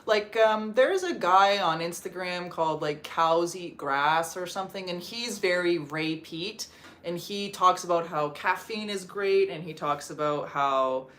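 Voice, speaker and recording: A woman's voice: on the low side, measured pace, a bit bright. A woman from Canada hosting a casual livestream from home, reading and answering viewer comments in a chatty way, with lots of "like" fillers. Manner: chatty, filler-heavy with frequent "like". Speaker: livestream host. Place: home in Portugal.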